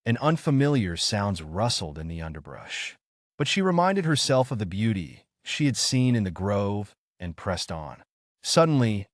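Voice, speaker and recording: A male storyteller reads a children's bedtime tale in a low voice. His narration is theatrical, with big swings in intonation, and the sound is dry and close. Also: no room sound.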